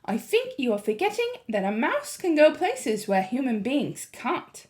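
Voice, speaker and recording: A woman's voice: sits high, sing-song, measured pace, a little mellow, pitched above the performer's own voice. A woman playing a clever little mouse boy. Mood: eager, confident. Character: small boy turned mouse, clever and earnest.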